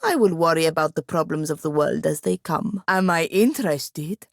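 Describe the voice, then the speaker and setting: A proud young woman wizard from a fantasy video game. Her voice sits low and has a gravelly creak. She speaks in formal phrases with a dry, sardonic edge, in a very dry, close recording. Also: normal speed, no room sound. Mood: wry. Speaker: game companion character. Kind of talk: scripted video game dialogue.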